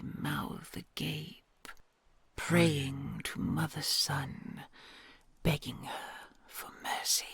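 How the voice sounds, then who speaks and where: A woman voicing a kind, doting queen dragon, huge and ancient, who tells old legends in a soft hush and gently teases a human she adores. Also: deep voice, gravelly, breathy edge, dry recording, exaggerated voice. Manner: soft hushed storytelling, affectionate and gently teasing. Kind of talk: ASMR audio roleplay.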